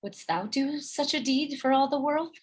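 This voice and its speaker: A woman playing a gentle, melancholy noblewoman and obedient wife in a Shakespearean radio play, with a wistful manner. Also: sits high, moderate pace, lightly acted. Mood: troubled, innocent.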